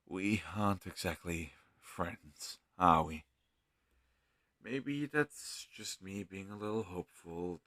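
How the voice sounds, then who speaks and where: A man playing an earnest, gentle healer mage in a fantasy audio roleplay, talking hesitantly and thoughtfully to a travel companion. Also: deep voice, husky, no echo, studio quality, exaggerated voice. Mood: shy, hopeful. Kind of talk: scripted fantasy audio roleplay addressed to the listener.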